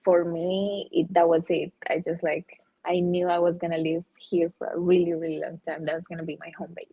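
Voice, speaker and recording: A woman's voice: fairly low pitch, little pitch movement, fairly quick, breathy edge. A woman from Russia who has also lived in Colombia, chatting loosely and enthusiastically as an interview guest on dull, lo-fi audio. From born in Russia, lived in Colombia. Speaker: NFT artist, interview guest. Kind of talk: casual chat.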